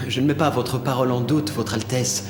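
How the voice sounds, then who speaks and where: A man's voice: medium pitch, steady tone, brisk, over music, lightly acted. Man playing an earnest young prince, reverberant. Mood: polite. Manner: formal, deferential, ardent. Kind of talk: dubbed cartoon fairy-tale acting.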